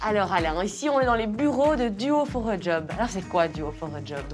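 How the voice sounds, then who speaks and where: A woman's voice: medium pitch, lively intonation, a little fast. Upbeat lady host asking questions, laughs easy, faint music. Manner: cheerful, laughing. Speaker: interviewer, host. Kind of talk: friendly interview. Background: soft music.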